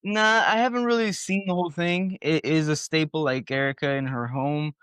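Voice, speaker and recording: A man's voice: fairly high pitch, fairly even intonation, normal speed, fairly bright tone, smooth, no echo. Laid-back man co-hosting a movie podcast. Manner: laid-back, many fillers like um and like. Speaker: podcast co-host. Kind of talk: casual film podcast chat.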